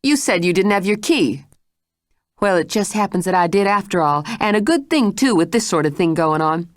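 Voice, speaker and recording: A woman's voice: on the low side, animated, moderate pace, no echo, studio quality, pitched above the performer's own voice, close to an everyday voice. Woman doing a stern scolding housekeeper. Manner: scolding, forceful.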